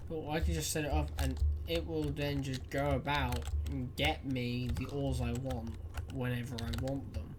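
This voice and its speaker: English woman, tired let's-play host, rambly and self-mocking, keyboard typing clear behind. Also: very deep voice, steady tone, moderate pace, hardly any pauses, background noise. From England. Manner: chatty, rambling, self-deprecating. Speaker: gaming YouTuber. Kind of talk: casual gameplay commentary.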